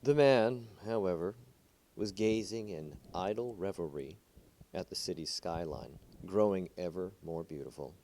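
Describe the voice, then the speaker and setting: A man reads a short story aloud as an amateur narrator. His voice sits fairly low, and he phrases the prose in a measured, deliberate storyteller's way. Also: dark tone, slight background noise. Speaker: amateur reader of short stories.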